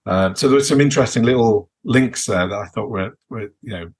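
A British man co-hosting a podcast in casual, unscripted chat, with a halting, rambling way of building his sentences. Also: fairly low pitch, darkish tone, slightly rough. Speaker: podcast co-host.

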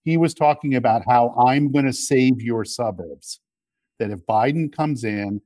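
A man's voice: medium pitch, unhurried, mellow, no echo. Man arguing politics in a dry recording. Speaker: interview guest, political commentator and media executive. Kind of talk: conversational political debate.

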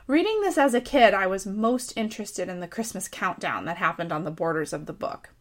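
An American woman in her thirties reads a scripted personal essay aloud. Her voice sits at a medium pitch, and she tells it like a story, measured but expressive. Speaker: writer reading own essay.

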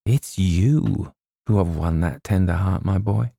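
A man's voice: deep voice, a little slow, vocal fry, mellow, no echo, clean studio sound, heavily acted. A man voicing a bluff, sentimental old English colonel in an audiobook, hesitant and repeating himself as he nobly nurses a broken heart. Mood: mournful, noble. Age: older. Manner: hesitant, repeats himself, stumbles over names. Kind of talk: theatrical audiobook character voice.

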